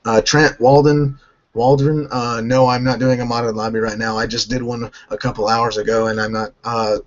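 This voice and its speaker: A hoarse man from North Carolina hosting a gaming channel, answering viewer questions in a halting way and often restarting his sentences. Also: medium pitch, flat delivery, lo-fi sound. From North Carolina, United States.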